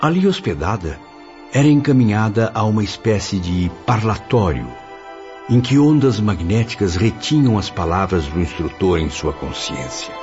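A man's voice: lowish voice, animated, normal speed, a little mellow, natural voice. A Brazilian man playing a solemn, formal guide who narrates didactically in a radio drama. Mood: solemn, explanatory. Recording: dull audio with music bed.

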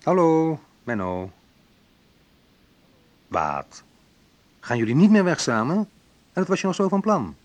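An actor playing a reflective man in a naturalistic radio drama, talking in a conversational, hesitant, wry way with a husky voice. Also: a little high. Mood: reassuring, patient. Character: Menno, reflective man recalling a school friend. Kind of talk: naturalistic radio drama monologue and phone talk.

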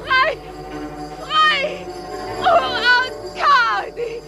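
Young serf actress, very high voice, breathless, emotional, vintage radio drama. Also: female voice, drawn-out words, full character voice. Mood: exalted, breathless. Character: passionate, tearful young serf actress in love. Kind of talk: 1950s German radio drama acting. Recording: vintage 1950s radio recording, faint music.

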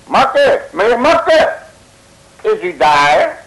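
An actor playing a boastful, bumbling male detective, blustering and shouting in a 1950s radio play. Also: sits high, very animated, extremely slow, heavily acted, low-quality recording. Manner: blustering, with made-up curses and sudden shouts.

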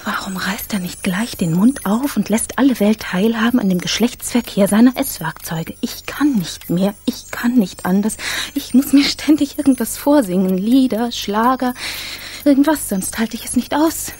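An actress in a radio play playing a high-strung, fastidious, self-absorbed woman in a breathy, half-whispered inner monologue. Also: a little mellow. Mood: frantic.

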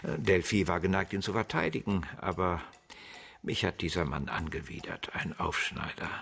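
Husky, low-voiced, proud scholar. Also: male voice, normal speed, fairly bright tone, exaggerated voice. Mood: disdainful. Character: proud, bitter, erudite betrayed husband. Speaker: actor playing a murder suspect. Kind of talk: German radio crime drama acting.

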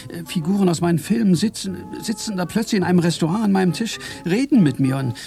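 A stammering neurotic filmmaker. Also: male voice, sits high, fast talker, gravelly, dark tone. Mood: confessional. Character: neurotic stammering male filmmaker, anxious and fretful. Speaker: comedian character in a radio play. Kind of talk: 1980s German radio drama acting. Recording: music behind, digitized cassette tape.

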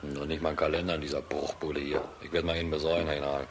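Dutiful aide to a general, radio play. Extremely low, quick clipped delivery. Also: male voice, level tone, noisy background. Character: dutiful military aide, deferential to his general.

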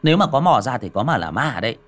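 A man voicing a brash, grumpy young village man who mocks his scared friend in a loud, fast, scoffing way. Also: medium pitch, big pitch swings, soft background music, pitched above the performer's own voice. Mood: mocking.

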